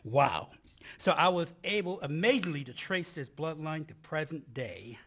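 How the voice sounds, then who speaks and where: A man's voice: a little high, lively intonation, unhurried, a bit bright, full character voice. An actor playing an earnest man in love, a historical researcher, in a dramatic radio-play style. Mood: explaining. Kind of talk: stage radio play acting.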